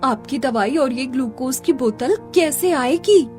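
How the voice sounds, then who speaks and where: A high-voiced young village wife and mother from a cartoon story , acted by a woman. She is poor and devoted, anxious and pleading, with faint music behind her. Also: lively intonation, unhurried. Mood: worried. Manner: earnest, pleading, gentle with family. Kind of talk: Hindi cartoon story dialogue.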